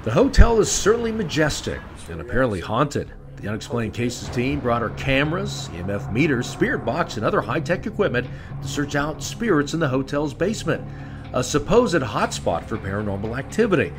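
Lively male documentary narrator. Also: medium pitch, fairly quick. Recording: voice-over with background music.

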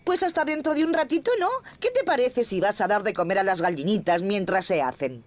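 A woman's voice: medium pitch, expressive, a little fast, close to an everyday voice. A woman playing a cheerful, bustling older farm sister in a children's cartoon, hearty and welcoming, with a Castilian Spanish accent.

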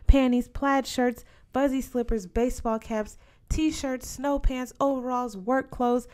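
A woman's voice: high voice, fairly even intonation, measured pace, darkish tone. A woman reading scripted first-person fiction aloud as an audiobook narrator. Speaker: audiobook reader.

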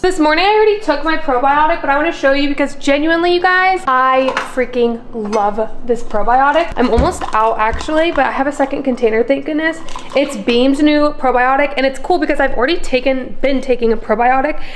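Bubbly twenties gal vlogging at home, high bright voice, camera at arm's length, faint hiss behind. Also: female voice, brisk. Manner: bubbly, gushing, chatty. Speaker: lifestyle and fitness vlogger. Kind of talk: casual vlog chat. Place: home kitchen and bedroom, later parked car. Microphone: handheld camera at arm's length.

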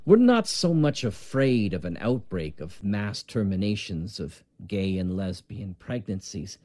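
Low, husky, calm older man, acted. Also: a little slow, dry recording, clean studio sound. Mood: concerned, measured. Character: older man, calm, measured, quietly official. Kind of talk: audio drama acting.

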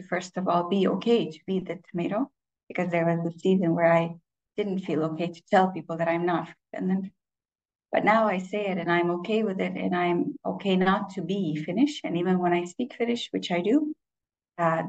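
Woman in her 30s, English speaker, low gravelly voice, reflective presentation delivery. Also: highs cut off. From Estonia. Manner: reflective, with frequent filler pauses and restarts. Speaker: conference speaker. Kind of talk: personal presentation talk.

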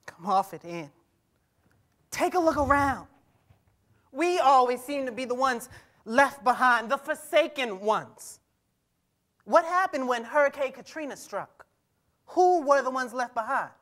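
A skeptical, aggrieved teenage boy in a stage drama, played by a young man. His voice sits very high for a male, and he talks in a colloquial, pointed way that keeps questioning and challenging. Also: lively intonation, a little slow, long pauses, a bit bright, full character voice. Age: young adult. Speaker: actor in a staged reading. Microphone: lapel mic on a stage.

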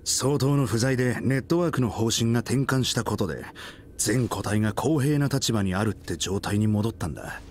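An actor playing a brash, outspoken starship captain who talks bluntly and casually in a game cutscene. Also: male voice, lowish voice, normal speed, darkish tone, a little hiss or hum, close to an everyday voice. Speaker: ship captain.

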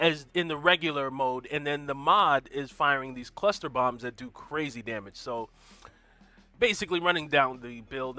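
A man hosting a relaxed gameplay stream, friendly with his chat and chatty about gear and builds. His voice sits a bit high for a man and comes across bright and forceful, with a slightly stuffy, cold-touched sound. Faint music plays beneath him. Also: no echo. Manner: friendly, greets chat viewers by name; walks through game build details. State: stuffy from a cold, occasional throat clearing. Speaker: gaming livestream host. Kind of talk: casual live gameplay commentary.

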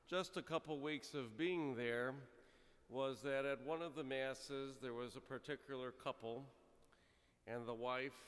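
Middle-aged priest preaching in reverberant church. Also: male voice, medium pitch, a bit bright. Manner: storytelling, conversational with frequent "uh" fillers. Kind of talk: sermon. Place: large church, speaking from the pulpit. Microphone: gooseneck pulpit microphone. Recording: church reverb from a fixed camera.

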